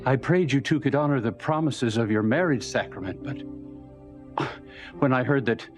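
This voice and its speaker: A man acting as a gently probing, compassionate priest in a soap opera. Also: medium pitch, moderate pace, soft background music, close to an everyday voice. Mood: concerned.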